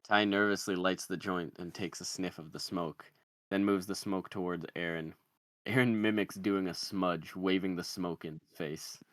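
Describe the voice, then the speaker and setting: A man's voice: deep voice, steady tone, moderate pace, a bit bright, no room sound, clean studio sound. A man reading screenplay stage directions as scripted narration.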